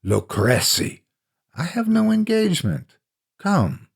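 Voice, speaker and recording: A man's voice: high-pitched, sing-song, slow pace, vocal fry, slightly rough, pitched above the performer's own voice, full character voice. A man voicing a drunken, boastful nobleman in a gothic horror story, exclaiming in disbelief and waving things away. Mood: insistent. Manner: incredulous, exclaiming, dismissive. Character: drunken, boastful nobleman in carnival motley, coughing.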